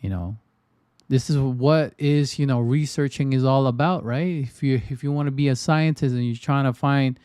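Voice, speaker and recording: A man's voice: mid-range voice, moderate pace, a little mellow, smooth, no room sound, clean studio sound. A man from the Philippines casually chatting on his livestream, rambling with frequent restarts and fillers. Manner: loose, repetitive, lots of restarts and fillers. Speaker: trading livestreamer.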